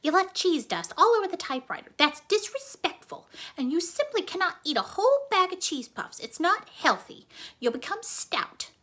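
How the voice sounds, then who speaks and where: A woman's voice: very high-pitched, very animated, moderate pace, a bit bright, pitched above the performer's own voice, exaggerated voice. A woman voicing a strict, exasperated mother in a children's storybook, scolding in an emphatic, nagging way. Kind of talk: children's storybook read-aloud.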